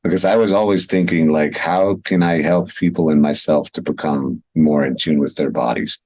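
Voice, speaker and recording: A man's voice: very deep voice, fairly even intonation, moderate pace, darkish tone, breathy edge, dry recording. A man in his thirties from Switzerland, talking casually and reflectively as a coach being interviewed. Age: 30s. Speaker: interview guest, wellness and movement coach. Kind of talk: casual conversation.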